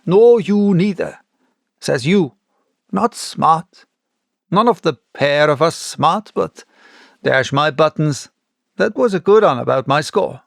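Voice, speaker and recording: A man's voice: a little high, expressive, slow, gravelly, long pauses, no echo, clean studio sound, exaggerated voice. A man voicing a boisterous one-legged old sailor and tavern keeper in salty, exclamatory seafaring dialect for an audiobook. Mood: hearty, frank. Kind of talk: audiobook character voice.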